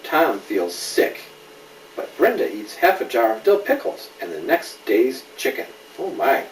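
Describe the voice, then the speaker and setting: A middle-aged man reading a children's story aloud in an animated way, with conversational questions to a young listener. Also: medium pitch, unhurried. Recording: roomy, distant home recording with noise.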